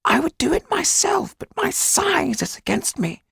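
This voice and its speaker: A man voicing a small, affectionate, excitable older man in an audiobook, with a high, husky, creaky voice and an emphatic, fussing manner. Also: measured pace, no room sound, heavily acted. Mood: grave. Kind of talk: audiobook character acting.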